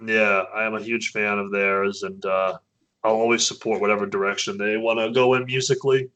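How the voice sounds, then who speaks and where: A man, a metal band's vocalist, chatting casually as an interview guest, often halting and restarting his sentences. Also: flat delivery, bright, forceful tone, highs cut off. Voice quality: naturally low voice. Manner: hesitant, many restarts and fillers.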